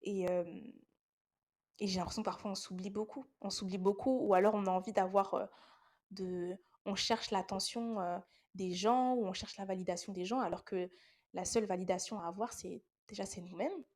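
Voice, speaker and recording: A woman's voice: mid-range voice, steady tone, moderate pace, darkish tone. A young woman with a head cold hosts a casual faith talk podcast, speaking to her listeners in an earnest, encouraging way. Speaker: podcast host.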